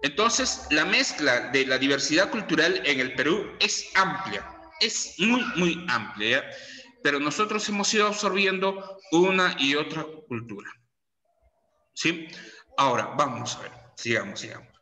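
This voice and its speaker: Chatty Peruvian teacher in an echoey room. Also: male voice, a little high, very animated, measured pace, bright, forceful tone. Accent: Peruvian Spanish. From Peru. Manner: chatty, lots of tag questions and fillers. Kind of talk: classroom lecture. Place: classroom. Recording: echoey room with long reverb.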